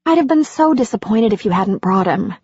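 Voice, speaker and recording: An eager, warm middle-aged woman, as an audiobook narrator puts her on, her voice lifting higher than the narration and swinging widely. The tone is a little husky. The recording is dry and dull. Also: lively intonation, fairly quick, no room sound.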